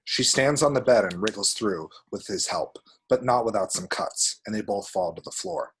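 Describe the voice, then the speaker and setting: A man's voice: fairly low pitch, lively intonation, moderate pace, breathy edge, low-quality recording. A man reading screenplay action descriptions aloud as dramatic, vivid narration at a table read.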